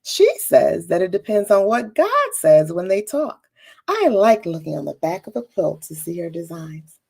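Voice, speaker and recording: A woman's voice: on the low side, sing-song, normal speed, creaky, darkish tone, slightly rough, heavily acted. A woman voicing a proud, know-it-all young storybook girl who explains things in an emphatic, teacherly manner. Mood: proud, instructive. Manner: emphatic, teacherly, eager to show off.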